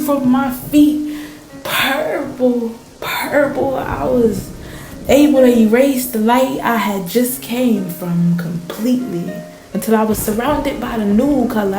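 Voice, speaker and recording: A woman's voice: fairly high pitch, takes it slowly, music in the background. A woman playing a storm-shaken fisher in a radio play, recounting a surreal vision at sea in intense, colloquial storytelling. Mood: wondrous. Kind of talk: dramatic radio play monologue. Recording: roomy, echoing sound.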